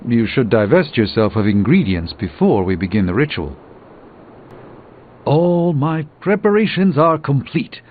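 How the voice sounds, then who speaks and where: A man's voice: on the high side, narrow, tinny audio. A man voicing an erudite, self-assured middle-aged sorcerer in a video game, speaking in precise, wordy, pompous phrases. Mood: brisk, lecturing.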